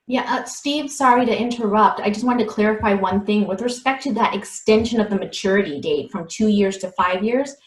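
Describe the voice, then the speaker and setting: A woman's voice: mid-range voice, reverberant, rough recording. A woman on a video call who clarifies rules precisely, mixing in casual fillers like "you know". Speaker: panelist, legal explainer. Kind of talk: webinar talk.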